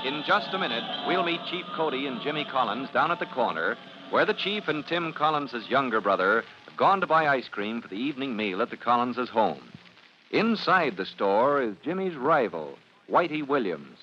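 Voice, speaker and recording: A man's voice: mid-range voice, very animated, normal speed, bright, forceful tone. A man narrating dramatically as the announcer of an old-time radio serial. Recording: muffled, noisy old radio recording.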